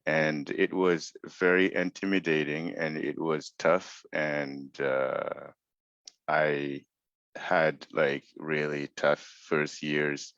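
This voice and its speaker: A man's voice: extremely low pitch, fairly bright tone, no echo. A man from West Africa speaking earnestly as a panelist on a video call, searching for his words. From West Africa, Mauritania. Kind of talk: online panel discussion. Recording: muffled video call audio.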